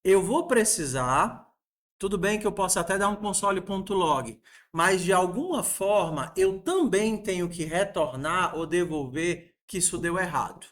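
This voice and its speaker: A man's voice: high voice, animated, a little slow, fairly bright tone. A man, teaching a programming lesson and patiently asking his students rhetorical questions. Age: 40s. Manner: patient, asks the class rhetorical questions, uses diminutives. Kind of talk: coding lesson screencast.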